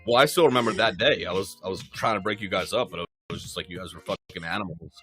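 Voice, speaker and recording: Low-voiced forty-year-old man, emphatic podcast talker. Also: fast, fairly bright tone, soft background music. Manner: emphatic, repetitive, profane. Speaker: podcast co-host. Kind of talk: casual conversational podcast chat.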